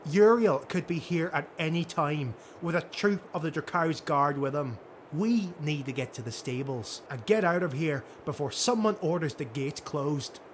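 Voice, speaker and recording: A man voicing a blunt, urgent female dark elf raider in a fantasy audiobook. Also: fairly high pitch, expressive, normal speed, slight background noise, natural voice. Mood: alarmed, urgent.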